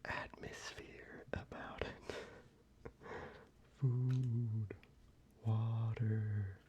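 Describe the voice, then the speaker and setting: A young man talking softly in a half whisper, casual and rambling, as an ASMR creator. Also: on the low side, fairly even intonation, drawn-out words. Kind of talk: soft-spoken ASMR show-and-tell. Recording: slightly muffled, with faint ambient noise.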